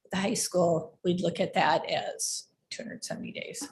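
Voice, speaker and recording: A woman's voice: on the low side, fairly even intonation, normal speed. A woman speaking as a school district administrator, explaining things at a public board meeting. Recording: clearly noisy meeting livestream with clicks.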